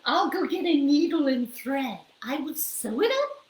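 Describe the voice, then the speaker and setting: Amelia Bedelia, a squeaky cheerful woman-voiced housekeeper. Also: big pitch swings, measured pace, darkish tone. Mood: bubbly. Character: cheerful literal-minded woman, housekeeper running for mayor. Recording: roomy echo.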